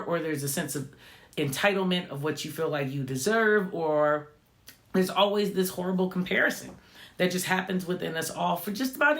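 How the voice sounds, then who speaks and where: A woman of about fifty with a low voice she calls not feminine, hosting a reflective vlog and talking conversationally, often tagging lines with "right?". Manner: conversational, often adding "right?" tag questions. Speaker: channel host. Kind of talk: reflective motivational vlog talk.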